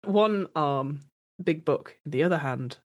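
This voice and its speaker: A woman's voice: deep voice, animated, unhurried, darkish tone. A woman chatting casually and improvising as a player in a tabletop role-playing game. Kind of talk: improvised in-character play, casual chat.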